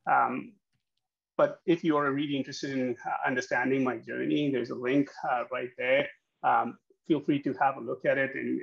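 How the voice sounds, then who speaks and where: Man in his 30s, gravelly, halting speaker; dull lo-fi video call sound. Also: mid-range voice, a bit bright. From Pakistan. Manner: hesitant, many fillers and restarts. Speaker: guest speaker, accounting educator. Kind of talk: webinar presentation. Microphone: video call webcam.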